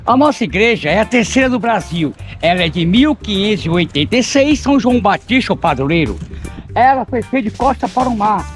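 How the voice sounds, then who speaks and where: An older man with a notably high voice leads a village tour, mixing history with wisecracks and tripping over his words now and then. His colors every line, and a faint strain of music runs in the background. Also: animated, brisk. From Bahia, Brazil. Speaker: local tour guide. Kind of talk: joking storytelling tour talk.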